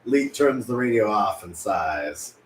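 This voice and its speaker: A man's voice: lowish voice, expressive, slow, soft background music. A man reading stage directions as scripted narration at a film table read. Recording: roomy, echoey with room noise.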